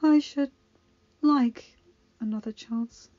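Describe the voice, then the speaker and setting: A woman's voice: sits high, takes it slowly, warm tone, muffled recording, pitched above the performer's own voice. A woman voicing a dying young enchantress, proud and dry-witted, speaking breathless and strained. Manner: breathless, strained, dryly sardonic.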